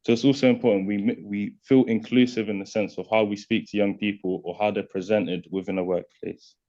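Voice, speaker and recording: Mellow-voiced male panelist. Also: fairly low pitch, fairly even intonation, fairly quick. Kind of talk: prepared panel remarks. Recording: slightly dull conference audio.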